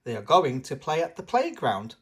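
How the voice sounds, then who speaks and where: Very animated children's storybook reader. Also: mid-range voice, moderate pace, breathy edge. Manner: expressive, addressing young children. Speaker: storybook channel host. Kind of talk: children's read-aloud narration.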